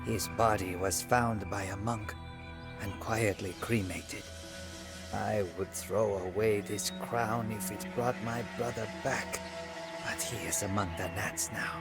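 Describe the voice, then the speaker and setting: A man's voice: fairly low pitch, fairly even intonation, slow pace. An actor playing a proud, brooding Burmese warrior king in a game, narrating his own story in a grave, theatrical, declamatory manner. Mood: grieving, bitter. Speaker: narrator and protagonist of game cutscenes. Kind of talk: dramatic scripted first-person narration. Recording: voice over prominent music. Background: music.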